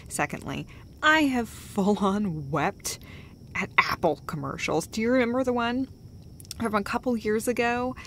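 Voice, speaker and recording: Some low rumble sits behind a woman acting as a girlfriend in an intimate audio roleplay. She talks slowly and haltingly at a middle pitch, tender and sweary, rambling casually as she comforts her partner. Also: lightly acted. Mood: teasing, reassuring.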